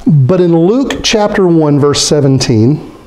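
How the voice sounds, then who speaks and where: Animated middle-aged pastor preaching. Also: male voice, takes it slowly, poor audio. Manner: animated, gestures a lot, asks the congregation questions; drops his voice low when quoting scripture figures. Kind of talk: sermon. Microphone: stand mic in front of speaker.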